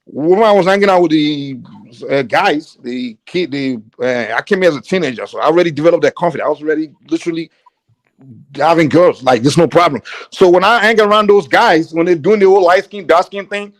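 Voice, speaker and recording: A man's voice: fairly high pitch, dry recording. A man from Nigeria with a Nigerian accent, a guest in a heated debate who keeps pressing his point over interruptions. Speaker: guest on a call-in show.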